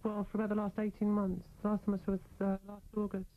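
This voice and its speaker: A woman's voice: medium pitch, completely flat delivery, fast. A woman in her thirties speaking candidly as an interview guest, explaining herself in a reflective, conversational way. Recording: narrow-band, phone-like old radio aircheck.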